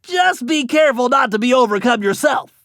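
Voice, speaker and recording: Super high nasal nerd voice, creaky, eager brainy planner from a video game, talks in precise wordy briefings. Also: male voice, animated, fairly quick, a bit bright, dry recording, clean studio sound, heavily acted. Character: nerdy male brainy gadget expert of a thief gang, eager planner. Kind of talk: animated video game character voice acting.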